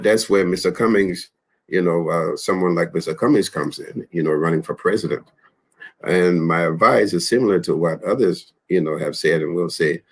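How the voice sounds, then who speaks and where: A man from Liberia, speaking as a panelist and commenting in a discussion with many halting restarts. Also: deep voice, husky.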